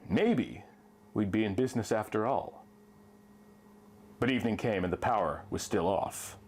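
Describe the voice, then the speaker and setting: A man's voice: lowish voice, normal speed, a little mellow, slight background noise. A man reading a pulp adventure story in a theatrical, emphatic first-person style, drawing out the ends of phrases.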